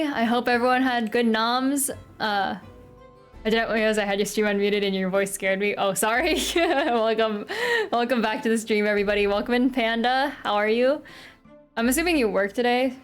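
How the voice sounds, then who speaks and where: Chatty American woman streamer, high voice. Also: moderate pace, clear, resonant tone. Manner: chatty, giggly, talks to chat. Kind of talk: casual live-stream chat and game reading. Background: faint pop music playlist.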